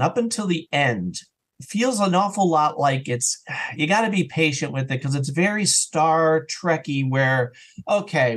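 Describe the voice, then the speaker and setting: Guy podcast guest, kinda high voice, excited casual chat, acts out little quotes as he goes. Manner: animated, acts out imagined remarks. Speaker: podcast guest, audio drama writer and creator. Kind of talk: casual enthusiastic interview chat.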